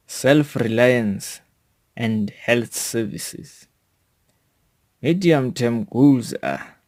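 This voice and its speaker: Man, South African accent, slow pace, medium pitch, formal scripted delivery, deliberate phrasing. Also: darkish tone. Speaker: podcast host. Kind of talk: scripted educational monologue, read out.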